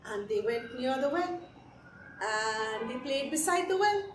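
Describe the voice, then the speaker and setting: A woman telling a folktale to children in an animated way, repeating phrases for effect and addressing her listeners directly. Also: sits high, unhurried. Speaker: storyteller. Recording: echoey room with background noise.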